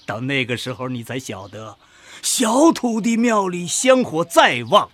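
Radio drama casting: pompous older professor, husky, lecturing, hugely animated delivery. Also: male voice, a little high, measured pace, exaggerated voice. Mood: persuasive, grand. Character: pompous older male agricultural research professor. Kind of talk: Chinese radio drama acting.

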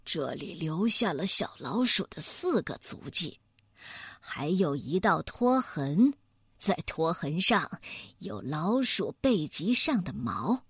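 A woman voicing a calm, methodical male bear scientist who explains things in an unhurried, deliberate way. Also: lowish voice, breathy edge, dry recording, clean studio sound, pitched below the performer's own voice, heavily acted. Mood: calm, assured.

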